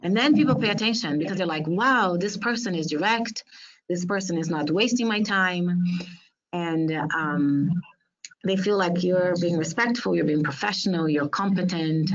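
A woman's voice: lowish voice, normal speed. A woman from Brazil, speaking English as a second language, giving earnest and direct advice as a webinar panelist, with frequent ums. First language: Portuguese. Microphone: video call. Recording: lo-fi video call audio.

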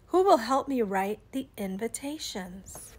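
A woman's voice: on the high side, lively intonation, a little slow, a little mellow, breathy edge. A woman voicing a hardworking female storybook iguana, emphatic and insistent with her lazy friends. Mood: cheerful, organizing.

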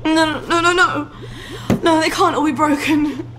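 A woman's voice: high voice, fairly even intonation, moderate pace, background noise, lightly acted. A woman voicing a kind, flustered, sweetly polite young British mother in a video game, coaxing and muttering to herself. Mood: exasperated. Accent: British English.

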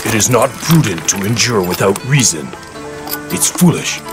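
An actor playing a loyal, stern samurai warrior in a video game, speaking formally and earnestly. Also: male voice, on the low side, sing-song, normal speed, music in the background. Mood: challenging. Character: stalwart, loyal armoured samurai retainer, devoted and stern. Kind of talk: dramatic video game cutscene acting.

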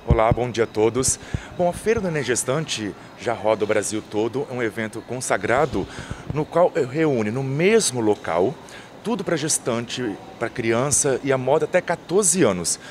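A man in his thirties speaks as an event coordinator into a reporter's handheld mic outside. He reels off long lists and often restarts his phrases. His voice sits at an average pitch, with faint traffic noise behind him. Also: lively intonation, moderate pace. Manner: fluent, lists items, frequent restarts. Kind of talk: on-camera interview. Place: outdoors by a painted mural wall.

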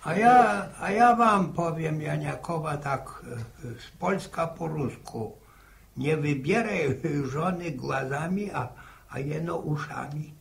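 An actor playing a halting, grumbly old grandfather with speech in a 1960s radio drama. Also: on the high side, gravelly. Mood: grumbling. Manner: halting, repeats his words. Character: stammering, grumbly old village grandfather, fond and folksy. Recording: faintly noisy old radio recording.

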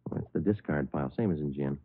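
Terse detective, deep voice, flat matter-of-fact delivery, vintage radio drama, dull lo-fi recording. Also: male voice, fast talker. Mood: casual, deliberate. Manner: deadpan, clipped, matter-of-fact. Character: terse, unflappable male police detective, narrating his case. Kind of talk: 1950s radio crime drama, clipped narration. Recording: muffled old radio recording.

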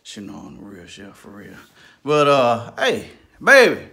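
A young man with an easy, laid-back delivery chats through his cooking for a family vlog. His voice sits at a middle pitch. He keeps calling his viewers family. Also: wildly swooping pitch, a little slow, sharp tone. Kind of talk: casual family vlog chat.

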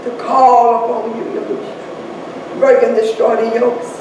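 A woman's voice: fairly high pitch, little pitch movement, measured pace, muffled recording, noisy background. Fervent praying woman in echoey hall. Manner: repeats hallelujah between phrases, swelling to shouts. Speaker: prayer leader. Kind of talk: fervent spoken prayer. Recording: very echoey room, distant mic, faint ambience.

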